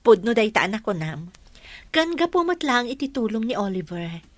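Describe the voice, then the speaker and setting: A woman's voice: a little high, very animated, normal speed, dry recording. A woman acting in an Ilocano radio drama as a grateful young mother, with an Ilocano accent. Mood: heartfelt. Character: Sunshine, grateful young mother and new graduate. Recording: dry studio with music bed.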